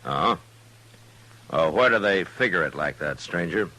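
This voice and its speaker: An actor playing a steady, gruff American frontier marshal, laconic and dry-witted, in an old-time radio western. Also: male voice, deep voice, normal speed, full character voice. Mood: probing, wary. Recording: noisy, humming vintage radio recording.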